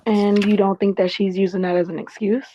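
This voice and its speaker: Dry, slightly muffled mid-pitched actress as a frank, sassy, protective woman coworker; naturalistic drama table read. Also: little pitch movement, a little fast, warm tone, slight background noise, lightly acted. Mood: concerned, frank. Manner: colloquial, frank. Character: candid, protective, sassy woman office colleague.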